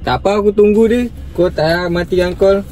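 A young Malaysian man speaks in a slightly high voice. He keeps up an insistent, questioning, casual phone manner. He is recorded in a car cabin with faint noise behind him. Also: steady tone, fairly quick, warm tone. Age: 20s. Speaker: prank caller. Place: inside a parked car.

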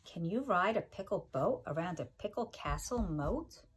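A middle-aged woman reading a picture book aloud to young children like a teacher, with expressive, sing-song delivery and chatty asides. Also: on the low side, normal speed, a little hiss or hum. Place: kitchen-like room at home, indoors.

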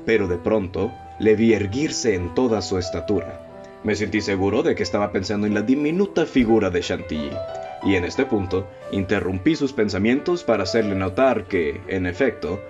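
A man voicing a composed, curious gentleman who narrates and reads the newspaper, speaking in a calm, measured way. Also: fairly low pitch, natural voice. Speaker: narrator and detective companion. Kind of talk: amateur radio drama acting. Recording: dull lo-fi with soft music.

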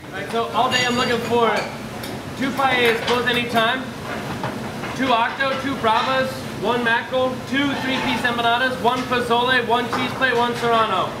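A woman working as a kitchen expediter in a busy restaurant kitchen, calling out orders in loud, clipped phrases with a steady rhythm. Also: a little high, moderate pace. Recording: echoey kitchen, clear background noise, mic far off.

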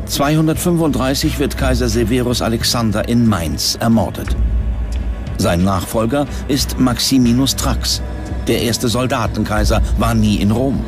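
A man's voice: mid-range voice, unhurried. A man reading scripted documentary narration as the narrator. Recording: music bed under the voice. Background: music.

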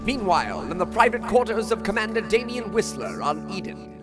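Higher-pitched, animated man announcing a serial. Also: brisk, music in the background. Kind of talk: dramatic radio serial narration.

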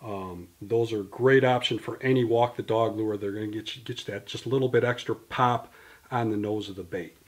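A man's voice: lowish voice, steady tone, a little fast. Man, fifties, tackle company guest; detailed, explanatory delivery, filmed on a camera mic in a small indoor studio. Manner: detailed, explanatory, gesturing with products in hand. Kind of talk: product explanation interview. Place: indoor studio in front of a banner.

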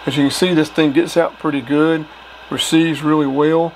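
A man's voice: fairly high pitch, flat delivery, normal speed, poor audio. A man giving a casual demonstration of gear as a hobbyist. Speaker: radio hobbyist reviewing gear. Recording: clear background noise.

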